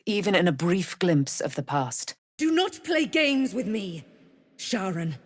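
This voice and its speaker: An actress playing a proud, righteous immortal warrior, daughter of a moon goddess, with grandiose, formal delivery in a fantasy video game. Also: female voice, mid-range voice, no room sound, studio quality. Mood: gracious, solemn.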